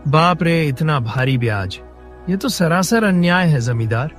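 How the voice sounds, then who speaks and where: A man's voice: on the high side, lively intonation, a little slow, faint music behind. A man voicing a poor, honest village farmer in a cartoon story, plaintive, earnest and indignant. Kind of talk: Hindi cartoon moral-story voice acting.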